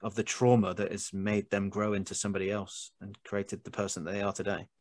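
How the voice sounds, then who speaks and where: A British man with a low voice hosts a casual podcast chat. He speaks earnestly, wandering and repeating words as he thinks, in a dry recording with no room sound. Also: level tone, brisk, a little mellow, no echo. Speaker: podcast host. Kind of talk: casual conversational interview.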